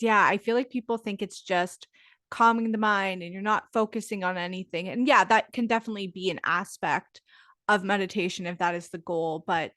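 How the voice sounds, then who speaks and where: A woman's voice: medium pitch, a bit bright, no room sound, studio quality. A woman hosting a podcast, musing in a reflective, enthusiastic way. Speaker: podcast host. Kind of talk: casual conversational interview.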